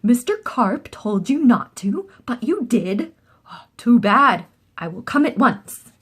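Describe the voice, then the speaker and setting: A woman's voice: fairly high pitch, big pitch swings, slow pace, a bit bright, slightly rough, full character voice. A woman voicing a helpful male policeman in a storybook, speaking emphatically and with authority. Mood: astonished, decisive.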